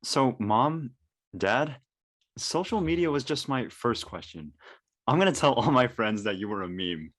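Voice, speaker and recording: A man's voice: mid-range voice, lively intonation, measured pace, fairly bright tone. A man playing a curious teenage son quizzing his parents in a live script reading. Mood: curious, teasing.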